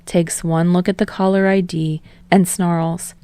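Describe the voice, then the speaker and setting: A woman's voice: on the low side, flat delivery, a little slow, dark tone, no echo. A woman reading a story aloud as a scripted audiobook narration. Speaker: fan fiction audiobook narrator.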